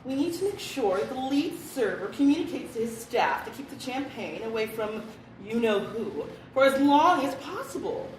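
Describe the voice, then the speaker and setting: A woman's voice: a little high, sing-song, moderate pace, recorded from a distance. A woman playing a brash, gossipy office coworker in a comic radio-style Christmas party sketch. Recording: echoey, noisy room, off mic.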